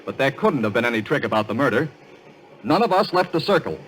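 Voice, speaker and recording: An earnest, puzzled fellow in an old radio thriller. His pitch moves about a lot and his questions come bluntly. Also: sing-song, quick pace, fairly bright tone, slight background noise, rough recording. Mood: puzzled, insistent. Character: earnest, puzzled male companion in a murder mystery. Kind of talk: old-time radio mystery acting.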